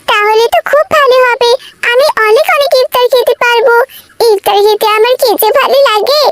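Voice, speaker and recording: A woman's voice: very high-pitched, brisk, noisy background, voice pushed up. A woman voicing a greedy, pleading girl who loves food, wheedling and pouty, in a children's moral cartoon. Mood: pleading, eager.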